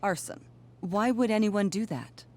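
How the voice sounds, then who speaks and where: Gracious mother, mid-pitched played by a woman. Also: unhurried, close to an everyday voice. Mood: concerned. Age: middle-aged. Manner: courteous, proper, gentle. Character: gracious, polite middle-aged mother hosting dinner. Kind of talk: naturalistic video game acting.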